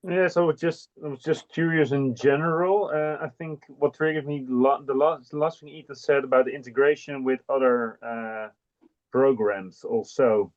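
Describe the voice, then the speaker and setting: A man's voice: mid-range voice, steady tone, normal speed, a little mellow, muffled recording. A man commenting in a webinar, musing and tentative. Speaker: webinar participant.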